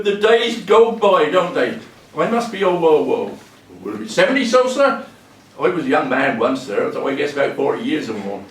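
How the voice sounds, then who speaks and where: An actor playing a cheery, deferential homeless wanderer of about seventy in a stage monologue, with a rural Hampshire accent and a halting, rambling way of talking. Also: male voice, on the high side, natural voice. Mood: bewildered, reflective. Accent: rural southern English, Hampshire. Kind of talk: theatrical dramatic monologue. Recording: echoey room, distant mic, faint noise.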